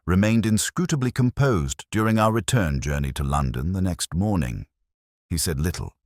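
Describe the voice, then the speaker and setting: A man reads a Victorian detective story as scripted narration. His voice is extremely deep, with a lively, moving pitch. The sound is dry and close. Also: no echo. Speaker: audiobook narrator.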